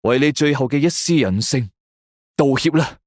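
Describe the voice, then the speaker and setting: A man's voice: mid-range voice, expressive, normal speed, a little mellow, no echo, clean studio sound, pitched above the performer's own voice, natural voice. Cold, relentless police interrogator, played by a man. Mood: cold scorn. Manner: cold, taunting.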